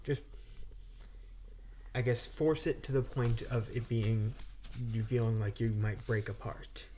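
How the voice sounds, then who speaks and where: A man's voice: mid-range voice, steady tone, measured pace, mellow, slight background noise. A man chatting casually behind the scenes as an audio drama director, with self-deprecating asides.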